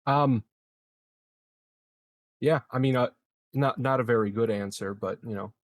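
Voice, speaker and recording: A man chats casually as a player at a tabletop game. His voice sits at an average pitch and is recorded close, with no room sound. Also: unhurried, no room sound. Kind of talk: casual chat.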